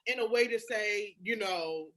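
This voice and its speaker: A woman with a very bright, forceful voice at an ordinary pitch shares personal stories in casual conversation. She is expressive and emphatic, and she swears freely. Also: measured pace. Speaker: podcast guest or co-host. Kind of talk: candid casual personal storytelling.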